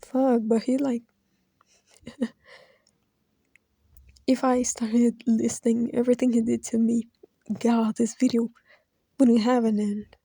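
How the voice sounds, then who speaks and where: Woman close to tears, halting and whispery, dark husky voice, dry close sound. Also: fairly high pitch, level tone, dry recording. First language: Brazilian Portuguese. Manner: halting, hesitant, drifting into soft whispers. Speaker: video creator. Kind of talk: emotional personal tribute.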